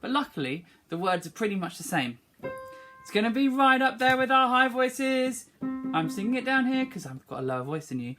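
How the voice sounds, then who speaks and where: A woman's voice: a little high, sing-song, normal speed, over music. A woman teaching children a song, speaking encouragingly and singing short phrases for them to repeat. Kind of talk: online singing lesson, call and response.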